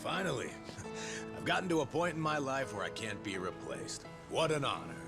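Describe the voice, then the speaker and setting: A man's voice: mid-range voice, sing-song, moderate pace, vocal fry. An actor, a man, playing a wry, sentimental buddy in a video game cutscene. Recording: loud music behind the voice.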